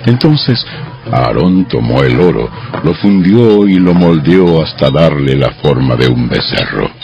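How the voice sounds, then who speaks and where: A man narrating a dramatized audio Bible in a scripted storytelling style, with a gravelly voice. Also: very deep voice, lively intonation, unhurried, lo-fi sound. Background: music.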